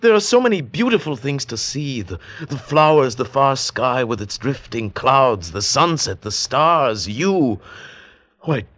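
A man's voice: medium pitch, big pitch swings, unhurried, a bit bright, no echo. An actor playing an earnest, yearning young man in love, who also narrates the story in an old radio drama. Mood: longing. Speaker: narrator and lead. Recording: lo-fi old radio recording with music.

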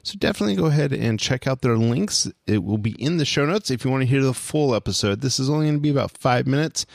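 A man hosts a podcast in a casual, conversational way. His medium-pitched voice is strongly creaky, recorded close and dry. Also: no echo. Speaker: podcast host.

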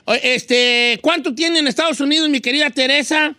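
A man's voice: very high-pitched, normal speed, bright, forceful tone, a little hiss or hum. A Mexican man hosting a radio show, chatting casually and joking about accents. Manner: jokey, imitates accents playfully. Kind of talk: casual studio banter.

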